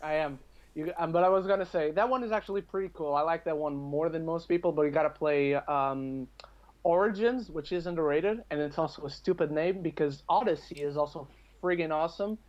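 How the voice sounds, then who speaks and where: A man from Portugal with a moderately high voice talks in a casual podcast style. He is chatty and thinks out loud as he goes. The sound is a little dull and lo-fi. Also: fairly quick. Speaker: podcast speaker. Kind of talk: casual chat about video games.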